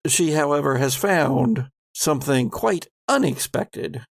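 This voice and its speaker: A man speaks as a game master, theatrically recounting a horror story. His delivery is slow and deliberate, with a gravelly edge, and the recording is close and dry. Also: mid-range voice, dry recording. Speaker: game master and podcast host. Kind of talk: dramatic recap narration of a tabletop horror game.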